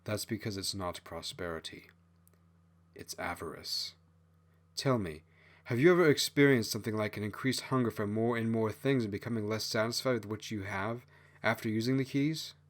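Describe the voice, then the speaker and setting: A stern, earnest male fox , voiced by a male narrator, speaks in a fairly low register. His manner is grave and moralizing, like an elder brother in a fantasy storybook. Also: normal speed, close to an everyday voice.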